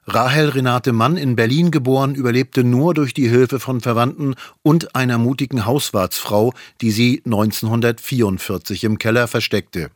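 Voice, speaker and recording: A man narrates a news feature in a measured, formal way. The sound is dry and close, like a studio voice booth. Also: medium pitch, dry recording. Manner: even, formal. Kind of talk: scripted news voice-over.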